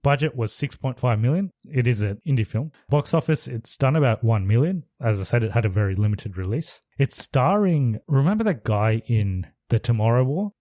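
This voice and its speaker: A man's voice: mid-range voice, lively intonation, moderate pace, hardly any pauses, dark tone, dry recording, studio quality. A man co-hosting a podcast, casually retelling a movie plot in a loose, rambling way with restarts. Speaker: podcast co-host. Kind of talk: casual chat reviewing movies.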